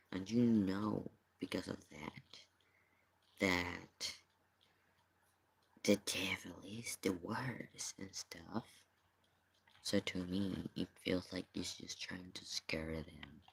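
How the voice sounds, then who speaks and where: Casual reaction streamer: deep, creaky voice, halting and unhurried delivery. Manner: halting, drawn-out phrasing.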